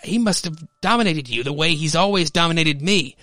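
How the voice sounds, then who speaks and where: A cynical younger brother, voiced by a man in a lurid radio play, insinuating and pushy. His slightly high male voice is dry and close. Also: animated, a little fast, sharp tone, no room sound.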